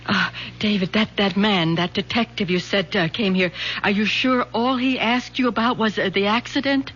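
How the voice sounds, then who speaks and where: A woman playing an anguished but determined middle-aged mother in an old radio drama, halting and earnest. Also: mid-range voice, steady tone, a bit bright, natural voice. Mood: anxious, pleading. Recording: muffled, hissy old radio recording.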